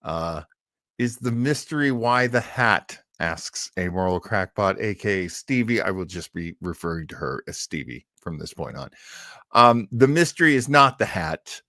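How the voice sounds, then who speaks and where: A man's voice: deep voice, expressive, normal speed, bright, forceful tone, no room sound, studio quality. A man hosting a live show in a casual, rambling way, self-deprecating and scattered, often hesitating as he goes. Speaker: livestream host.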